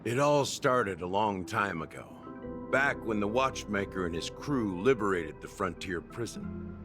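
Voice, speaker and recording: An actor playing a wry, mysterious middle-aged mastermind in a video game, speaking like a deliberate, teasing storyteller. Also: male voice, fairly low pitch, animated, music in the background. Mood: grave storytelling. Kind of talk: dramatic video game voice acting.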